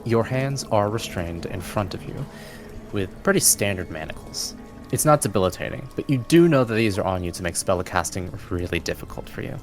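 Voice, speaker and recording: A man narrating a tabletop fantasy game as game master, in a deliberate, descriptive storytelling manner. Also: low-pitched.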